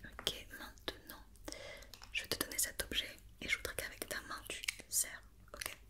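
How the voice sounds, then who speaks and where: A woman whispering an ASMR roleplay as a doctor giving an examination, with soft, reassuring and encouraging step-by-step instructions. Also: normal speed. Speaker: ASMR performer playing an occupational doctor examining a robot.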